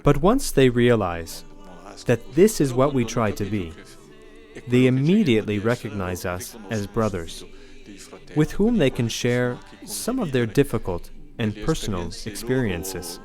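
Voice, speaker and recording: A man delivers a scripted voice-over translation at a middle pitch. His intonation moves around a lot, and music plays clearly behind him. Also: big pitch swings, measured pace, a little mellow. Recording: music bed under the voice.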